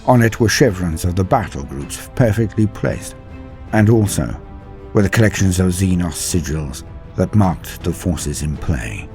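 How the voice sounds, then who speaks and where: A man narrating a dramatic science fiction story in a measured, theatrical way. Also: low-pitched, expressive, mellow, faint music behind.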